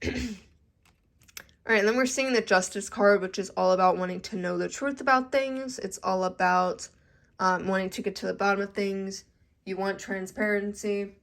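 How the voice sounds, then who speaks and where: A woman's voice: medium pitch, steady tone, moderate pace, gravelly. A young adult woman giving a casual tarot reading to camera, chatty and full of "okay?" check-ins, her voice a little congested from allergies. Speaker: tarot reader.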